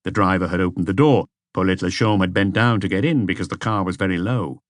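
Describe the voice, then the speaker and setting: A man's voice: sits low, fast talker, no room sound, studio quality. A man reading a detective story aloud as scripted audiobook narration.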